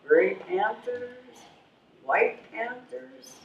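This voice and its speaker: A woman's voice: fairly high pitch, drawn-out words, vocal fry, muffled recording, exaggerated voice. A woman playing a part in a live readers theatre reading, reciting listings item by item. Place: live stage reading in a room. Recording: echoey, distant, faintly noisy room.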